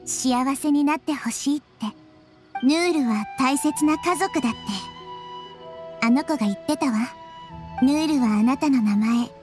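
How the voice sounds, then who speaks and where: Gentle girl goddess, soft tender speech, high voice, game music behind. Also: measured pace. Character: young-looking girl goddess, gentle and wise.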